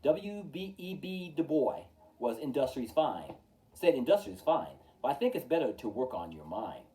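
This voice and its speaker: Male storytime reader: mellow tone, lively swooping intonation, emphatic rhyming verse, recorded a little far from the mic. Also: medium pitch, normal speed. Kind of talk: expressive children's picture-book read-aloud. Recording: distant from the mic.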